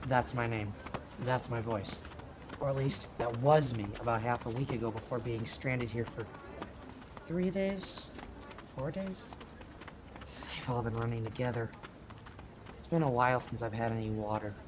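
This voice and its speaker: An actor playing a troubled teenage high school boy in an amateur radio drama, slangy and dramatic in delivery. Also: unhurried, long pauses, background noise, natural voice. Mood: weary, despairing.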